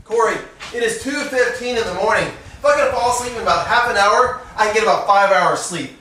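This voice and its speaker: A man's voice: high-pitched, brisk, bright, forceful tone, distant mic. Male actor as exasperated young husband, dry sarcasm, theatrical comic timing, roomy sound, faint noise. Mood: weary, sarcastic. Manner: dry, argumentative, sardonic. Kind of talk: theatrical comedic stage dialogue. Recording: echoey room with background noise.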